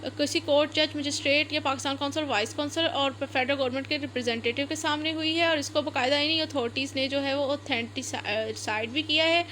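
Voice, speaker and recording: A woman's voice: high voice, steady tone, brisk, hardly any pauses, fairly bright tone, slight background noise. A woman with a Pakistani accent giving an explanatory lecture as a law teacher, going step by step with repetition and mixing in English terms.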